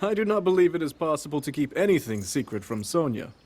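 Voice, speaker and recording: An actor playing a dignified, kindly ancient king in a video game cutscene, with formal, measured phrasing. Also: fairly high pitch, sing-song, a little mellow, faint music behind. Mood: amused, kindly.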